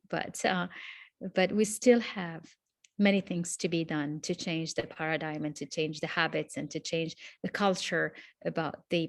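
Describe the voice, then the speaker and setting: A woman, answering questions as an interview guest in a reflective, measured way over a video call. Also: fairly low pitch, no room sound, studio quality. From Morocco. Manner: reflective, measured, with frequent restarts.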